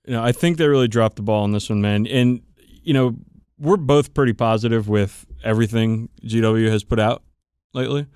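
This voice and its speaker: A man's voice: medium pitch, a little fast, a little mellow, clean tone, no room sound, studio quality. A man co-hosting a podcast, chatting casually about his hobby in an opinionated, emphatic way with plenty of swearing. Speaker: podcast co-host. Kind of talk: casual chat about tabletop wargaming.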